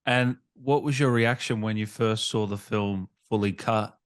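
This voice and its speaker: A man's voice: fairly low pitch, fairly even intonation, unhurried, clear, resonant tone, no echo, clean studio sound. A man hosting an interview, asking questions in a casual, conversational way. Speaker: interviewer, host.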